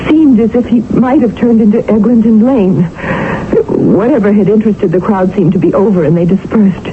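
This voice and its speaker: A genteel, anxious Victorian lady played by a woman in a radio mystery. Her mid-pitched voice is earnest, polite and theatrical. The vintage broadcast sounds muffled, with clear background noise. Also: moderate pace, close to an everyday voice. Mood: distressed. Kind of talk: 1970s radio drama acting. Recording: noisy, muffled old radio broadcast recording.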